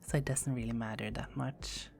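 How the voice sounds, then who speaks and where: A woman playing a sultry, deep-voiced vampire. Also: fairly even intonation, moderate pace, vocal fry, a little mellow, soft background music. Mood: teasing whisper. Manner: teasing, close whispers. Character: seductive, dominant female vampire. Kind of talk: ASMR roleplay.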